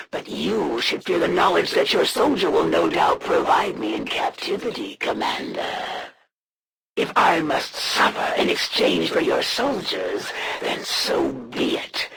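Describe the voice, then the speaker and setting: A woman playing a proud, menacing alien assassin in a video game, speaking in a formal, solemn way with a gravelly rasp. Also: low-pitched, fairly bright tone, a little hiss or hum, exaggerated voice. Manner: formal, solemn, haughty, deliberate delivery. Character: proud female alien assassin, honor-bound, menacing, serving the Elders. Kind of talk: theatrical video game villain lines.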